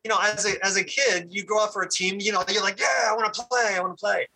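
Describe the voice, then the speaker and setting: A man with a very bright, somewhat husky voice, talking candidly in a casual podcast chat. He stops and restarts often. His pitch sits a touch high. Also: fairly even intonation, rough recording. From Puerto Rican family. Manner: candid, halting with frequent restarts. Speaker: podcast guest. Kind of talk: casual conversation.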